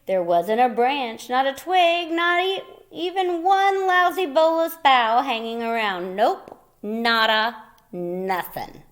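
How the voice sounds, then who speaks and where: Animated, high-pitched woman reading a children's story. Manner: theatrical, playful, dramatic pauses, explains words to young listeners. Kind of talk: expressive children's picture book read-aloud with asides to listeners.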